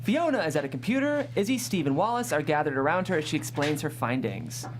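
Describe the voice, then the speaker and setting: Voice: medium pitch, big pitch swings, quick pace, music in the background. A person narrating stage directions in a scripted read at a table read. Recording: hum and background noise.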